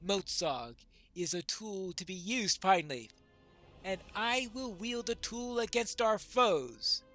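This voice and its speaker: Man reading as a high-voiced, solemn dwarf prince. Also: expressive, pushed and bright, faint music behind, heavily acted. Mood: solemn, brooding. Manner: formal, declamatory, deliberate. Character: solemn, resolute male dwarf prince, soon to be king. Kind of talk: dramatic reading of fantasy game dialogue.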